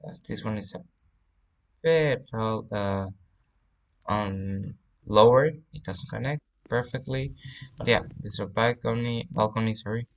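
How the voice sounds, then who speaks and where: A man with a Spanish accent, a native Spanish speaker, giving a casual game tour and often pausing to search for words. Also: lowish voice. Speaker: let's play creator.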